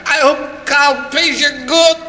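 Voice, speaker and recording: A jumpy, tough-talking henchman with a very high, sharp voice. He speaks in 1940s radio crime style. The old recording rings with echo and some noise. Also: fairly even intonation, slow pace, full character voice. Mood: pained, bitter.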